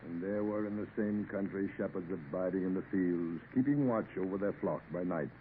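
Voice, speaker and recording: Guy as a blustery bible-loving ship captain, lowish voice, bossy, old radio drama, thin tinny sound. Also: normal speed, slight background noise, lightly acted. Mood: reverent. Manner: commanding, blustery, hems before speaking. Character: huge brawny pious sea captain, gruff and bossy. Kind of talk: 1950s radio drama acting.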